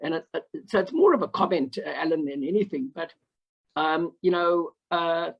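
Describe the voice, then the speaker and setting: Man, South African accent, gravelly, husky, rambling, fillers and restarts, lo-fi video call sound. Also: on the high side, expressive, normal speed. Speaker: audience member asking a question. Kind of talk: online Q&A comment. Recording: dull lo-fi video call audio.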